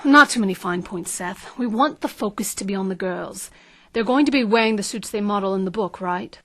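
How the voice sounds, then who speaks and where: A woman's voice: mid-range voice, fairly quick, natural voice. A woman playing an ambitious, scheming marketing consultant in an audio drama, self-assured and coaxing. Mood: brisk, directing.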